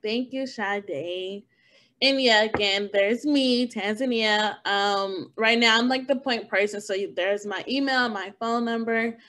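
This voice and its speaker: Young female host, info session on a video call, relaxed and chatty. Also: on the high side, unhurried. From Oakland, California. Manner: relaxed, chatty, laughs easily. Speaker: academic preparation specialist, meeting host.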